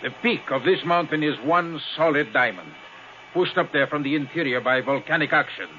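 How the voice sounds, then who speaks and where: A man's voice: on the high side, lively intonation, pushed and bright. An actor playing a bitter, guarded pilot in his thirties, speaking curtly in a 1950s radio drama. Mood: dry, matter-of-fact. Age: 30s. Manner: curt, brooding, deliberate. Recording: dull, lo-fi 1950s radio recording.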